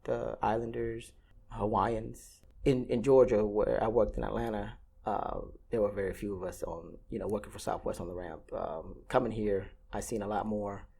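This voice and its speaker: Gravelly guy from vietnam, 30s, lots of uh and you know, restarts a lot, chatting about work. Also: lowish voice, fairly even intonation, normal speed, dark tone. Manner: hesitant, many fillers and restarts. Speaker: airline ramp agent. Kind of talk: casual interview answers.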